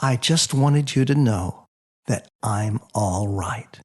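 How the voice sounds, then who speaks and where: A man voicing a tender, serene mother from a dream in an audiobook, with a gentle, drawn-out delivery. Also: fairly low pitch. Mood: gentle, reassuring.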